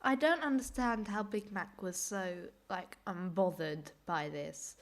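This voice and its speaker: A woman reacting casually to clips in a rambling, self-deprecating way, her voice hoarse and giving out from a cold. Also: medium pitch, unhurried. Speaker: host reacting to cartoon clips.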